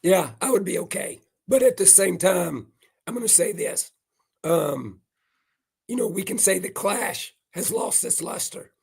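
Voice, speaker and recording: Hoarse older male racing analyst, gravelly and raspy, folksy and emphatic. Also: high voice, expressive, a little slow. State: hoarse, losing his voice. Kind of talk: live post-race analysis.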